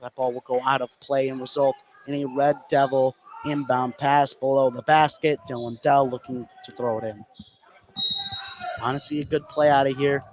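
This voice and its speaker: A man's voice: medium pitch, flat delivery, normal speed, a little hiss or hum, poor audio. A man calling live radio basketball play-by-play in a running narration that follows the action. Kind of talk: live radio sports commentary.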